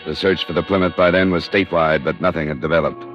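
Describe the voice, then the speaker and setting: Radio noir investigator, played by a male actor: American, very low, matter-of-fact, methodical, old muffled recording with music. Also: steady tone, fast, close to an everyday voice. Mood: terse, factual. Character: hard-boiled insurance investigator, methodical and persistent. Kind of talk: scripted first-person radio noir narration and dialogue.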